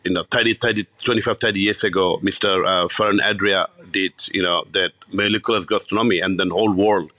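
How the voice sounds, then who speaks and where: Animated Bangladeshi man, bright voice. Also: low-pitched, fairly quick, hardly any pauses, no echo. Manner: animated, many restarts and repeats. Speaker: chef and restaurateur. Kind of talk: casual interview storytelling.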